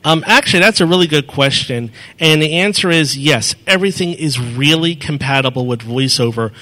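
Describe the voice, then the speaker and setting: A man's voice: fairly high pitch, fairly quick, sharp tone. A man presenting to an audience in a meeting room, explaining step by step on a handheld mic and often correcting himself as he goes. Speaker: presenter answering audience questions. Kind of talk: live demo talk at a meeting.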